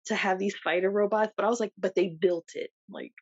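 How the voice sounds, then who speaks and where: A woman's voice: mid-range voice, steady tone, brisk, no room sound. A woman co-hosting a podcast, chatting casually and laughing often as she tells stories. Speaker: podcast co-host. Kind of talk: casual chat. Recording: dull lo-fi sound.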